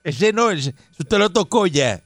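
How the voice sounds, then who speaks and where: A high-voiced man hosts radio banter in a Caribbean Spanish accent. He sounds emphatic and mocking as he teases, recorded close and dry. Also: sing-song, a little slow, fairly bright tone, no echo. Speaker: radio host. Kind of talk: casual, teasing radio banter.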